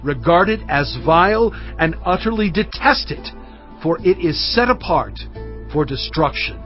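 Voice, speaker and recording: An actor playing a solemn, impassioned male prophet in a dramatised scripture reading, speaking with emphatic, oratorical, deliberate phrasing. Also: fairly high pitch, animated. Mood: fervent warning. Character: Moses, solemn, impassioned male prophet exhorting his people. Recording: dull, band-limited audio with music behind.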